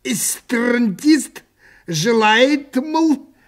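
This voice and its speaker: A man voicing a pompous drunk official in a satirical story, slurred, halting and drawn-out. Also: very high-pitched, lively intonation, voice pushed up, full character voice. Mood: tipsy, solemn. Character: swaying drunk pompous male board official.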